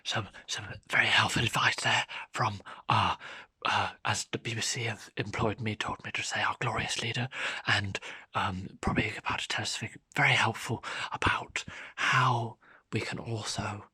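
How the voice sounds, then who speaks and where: A man playing a hushed, mock-solemn wildlife documentary narrator. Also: lowish voice, steady tone, normal speed, husky, no room sound, heavily acted. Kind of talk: parody nature documentary narration.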